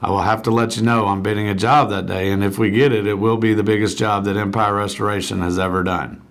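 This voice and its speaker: Guy playing a chill proud cartoon roofer, kinda low voice, earnest and agreeable. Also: steady tone, quick pace, close to an everyday voice. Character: easygoing, civic-minded small-town roofer. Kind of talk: animated comedy dialogue.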